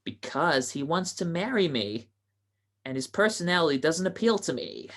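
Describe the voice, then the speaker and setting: Woman playing excitable, melodramatic young society girl. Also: low voice, normal speed. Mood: dramatic, scheming. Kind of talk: 1940s radio comedy acting.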